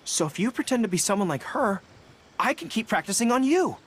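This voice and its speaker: A woman's voice: fairly high pitch, very animated, brisk, slight background noise. A woman voicing an earnest, easily flustered young dog-eared general in a video game. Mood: earnest, hopeful. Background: music.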